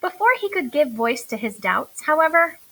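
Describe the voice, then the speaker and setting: A woman reading a children's story aloud in an expressive, deliberate storytelling manner. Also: sits high, rough recording. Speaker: storybook reader. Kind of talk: scripted children's story narration read aloud.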